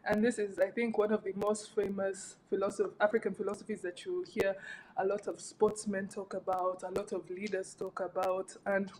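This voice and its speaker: Woman panelist, thoughtful and measured, a little room noise in the background. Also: medium pitch, level tone, a little mellow, low-quality recording. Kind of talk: reflective panel remarks.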